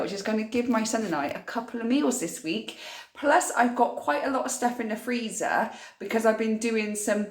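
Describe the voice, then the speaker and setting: A woman, a little hoarse and croaky from a cough, chatting through her grocery haul in a homely, rambling way. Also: medium pitch. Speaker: vlogger. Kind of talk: casual grocery haul vlog.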